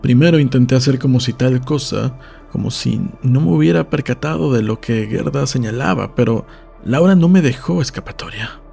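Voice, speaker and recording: Male narrator for literary audiobook, creaky mellow delivery, faint music underneath. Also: medium pitch, moderate pace.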